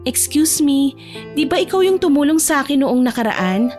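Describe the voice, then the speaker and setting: A woman's voice: high voice, expressive, normal speed, a little mellow, soft background music. A woman with a Filipino accent voicing a timid, insecure, emotional young woman in an audio romance drama. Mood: shy.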